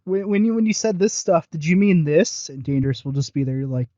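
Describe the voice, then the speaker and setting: Halting, higher-voiced man co-hosting a podcast. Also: lively intonation, warm tone, dry recording, muffled recording. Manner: halting, self-deprecating, often loses his thread. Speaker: podcast co-host. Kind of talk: casual chat, reviewing audio roleplay series.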